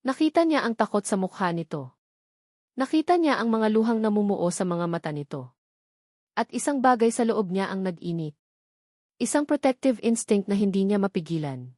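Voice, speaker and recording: A woman's voice: mid-range voice, expressive, normal speed, darkish tone, no echo, studio quality. A woman narrating a romantic drama in an emotive storytelling manner.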